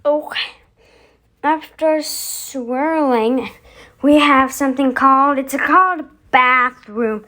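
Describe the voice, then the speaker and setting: A woman's voice: high voice, slow, bright, forceful tone. A woman hosting casually, musing aloud as she announces each step of a voice effects demonstration. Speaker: video host.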